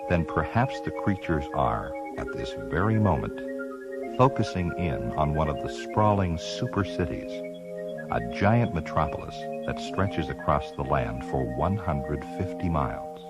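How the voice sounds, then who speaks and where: Very deep, ominous narrator. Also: male voice, big pitch swings, full character voice. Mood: solemn, ominous. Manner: portentous, deliberate. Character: solemn, ominous male narrator of a science fiction tale. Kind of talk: dramatic science fiction audio drama narration. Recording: loud electronic music under the voice. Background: keyboard-heavy electronic score.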